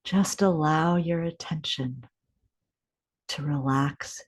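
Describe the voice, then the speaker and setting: Slow, low-voiced woman guiding meditation. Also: breathy edge. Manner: gentle, unhurried, deliberate pauses. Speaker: meditation guide. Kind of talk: guided meditation, scripted instruction.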